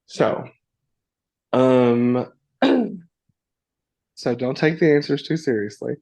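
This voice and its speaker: A man's voice: mid-range voice, lively intonation, slow pace, creaky, mellow. A man chatting as a podcast guest, upbeat and playful, who slips into grand mock-formal wizard talk and laughs often. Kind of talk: enthusiastic casual chat.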